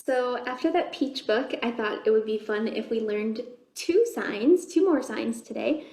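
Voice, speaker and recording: A woman's voice: fairly high pitch, measured pace, darkish tone. A woman hosting a children's storytime, explaining things simply and putting questions to young listeners. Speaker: children's librarian, storytime host. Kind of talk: children's storytime read-aloud and sign teaching. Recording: roomy, echoey indoor sound.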